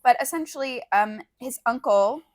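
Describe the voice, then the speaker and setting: A woman co-hosting a casual book podcast, speaking in a halting, thoughtful way with plenty of "sort of" and "like". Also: a little high. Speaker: podcast co-host discussing short fiction. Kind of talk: casual, unscripted book chat.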